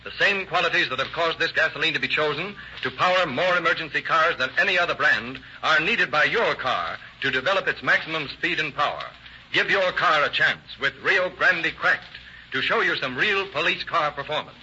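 A male radio announcer with a polished, emphatic 1930s delivery. His voice is fairly high and very bright. The recording is muffled with faint hiss. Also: animated, brisk. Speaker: announcer and narrator. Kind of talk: 1930s radio scripted narration and advertising.

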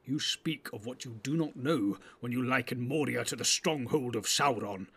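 A man's voice: medium pitch, normal speed, a bit bright, husky. A man playing a grave, commanding old wizard in a fantasy audiobook, speaking in weighty, archaic, oratorical phrases. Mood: stern, measured.

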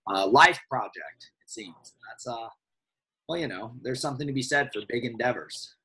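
A man hosting a book discussion, hoarse and husky from a voice that is giving out. He speaks in measured, slightly formal phrases at an ordinary pitch. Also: expressive, sharp tone, rough recording. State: hoarse, losing his voice. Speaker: podcast host. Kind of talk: casual chat about books.

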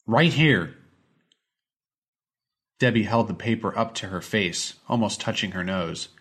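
A man's voice: fairly low pitch, moderate pace, a bit bright, slightly rough. A man reading a scripted short story as an audiobook narrator.

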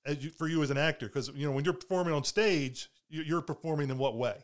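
Man, interviewer, quick talker, fairly high voice, repeats himself and restarts. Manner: rambling, repeats words and restarts. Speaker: interviewer host. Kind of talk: casual interview chat.

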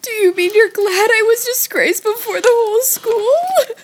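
A woman's voice: extremely high pitch, unhurried, a little hiss or hum. A woman acting a proud, petulant young girl, the youngest sister, in an audio play, with a whiny, dramatic and insistent delivery. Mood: indignant.

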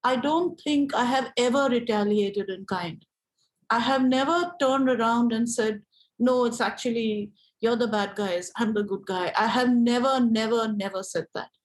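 Higher-pitched Indian woman, measured and thoughtful. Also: low-quality recording. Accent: Indian English. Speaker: activist being interviewed. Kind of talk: reflective interview conversation.

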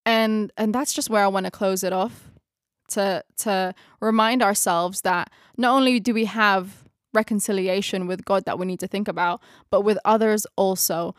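A woman's voice: mid-range voice, fairly even intonation, moderate pace, no echo, studio quality. Woman leading a reflective bible study. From Philippines. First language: Tagalog. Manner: reflective, searching for words, laughs at herself. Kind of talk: closing a bible study discussion.

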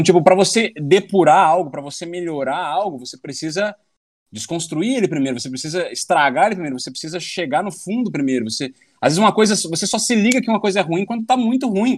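A man's voice: high voice, lively intonation, fast talker, no room sound, studio quality. A man in his thirties, a podcast guest, talking at length in a rambling, philosophical way and swearing casually. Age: 30s.

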